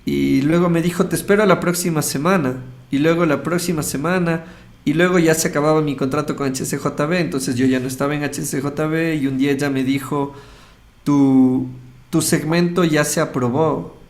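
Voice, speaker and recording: Higher-voiced Ecuadorian man telling his story. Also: steady tone, normal speed. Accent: Ecuadorian Spanish. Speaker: radio host interviewed as guest. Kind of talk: casual personal testimony, storytelling.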